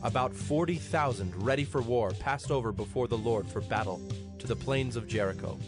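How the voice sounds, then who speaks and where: Solemn male scripture narrator over music. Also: mid-range voice. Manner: solemn, measured.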